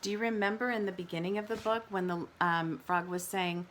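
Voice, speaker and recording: Bright-voiced woman teacher reading to young children. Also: on the low side, fairly even intonation, a little fast, slight background noise. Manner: questioning, explanatory, addressing young children. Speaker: kindergarten teacher reading aloud. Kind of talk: children's read-aloud with explanations.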